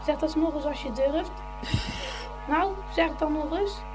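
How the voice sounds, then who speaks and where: An actress playing a defiant, grumbling schoolboy in a radio play, quarrelsome and indignant. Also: female voice, sits high, normal speed, over music, close to an everyday voice. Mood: challenging.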